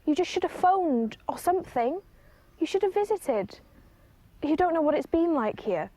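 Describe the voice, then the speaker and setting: An actress playing a hurt, reproachful younger sister in naturalistic film dialogue, terse and guarded. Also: female voice, high-pitched, lively intonation, normal speed, dark tone, dry recording. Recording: outdoor location noise behind dialogue.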